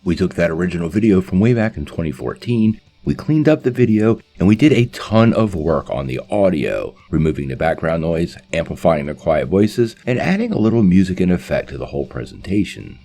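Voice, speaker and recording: A man's voice: deep voice, big pitch swings, brisk, creaky, few pauses, warm tone. A man hosting a video channel, giving a scripted introduction in an explanatory presenter's delivery. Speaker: channel host. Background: faint music.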